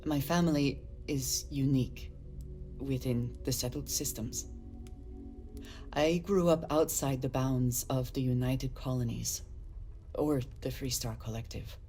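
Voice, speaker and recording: A woman voicing a guarded, solemn space explorer from a hard upbringing in a video game, speaking formally and deliberately. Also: rumbling low, fairly even intonation, mellow, soft background music. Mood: grave, reflective. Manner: earnest, formal diction, deliberate. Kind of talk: measured dramatic game dialogue.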